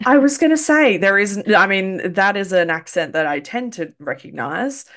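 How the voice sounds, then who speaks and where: An Australian woman hosts a podcast in a soft, earnest voice of middling pitch. She moves easily from a carefully read welcome into relaxed conversation with her guest. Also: moderate pace. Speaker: podcast host. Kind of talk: scripted intro then casual interview chat.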